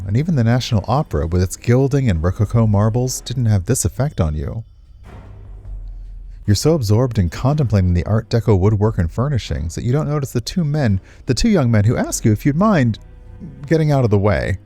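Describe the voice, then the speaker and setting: A man casually commenting and reading aloud as he streams a visual novel, chatty and expressive. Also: fairly low pitch. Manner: chatty, expressive, reacts with asides to what is read. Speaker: streamer, let's player.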